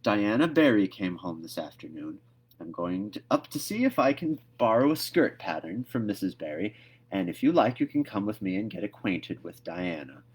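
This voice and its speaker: A man voicing a stern older woman who scolds a child briskly in a storybook read-aloud. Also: lowish voice, big pitch swings, husky. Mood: brisk, softening.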